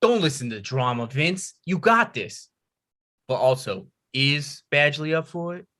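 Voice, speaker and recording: Laid-back wisecracking buddy, played by a man, higher male pitch, lively intonation, comedy script. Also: unhurried, exaggerated voice. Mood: casual, wry. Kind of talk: comedy table read.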